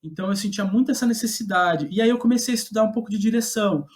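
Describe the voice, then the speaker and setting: A man's voice: sits high, rapid-fire. Casual Brazilian man recounting his path. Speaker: guest playwright. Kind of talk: casual conversational interview, recounting own career.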